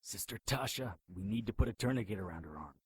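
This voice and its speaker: A man's voice: fairly low pitch, expressive, quick pace, breathy edge, no echo. A man playing a blunt, skeptical private investigator in an audio drama, wry and urgent. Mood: wry, practical. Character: gruff, skeptical amnesiac private investigator hero.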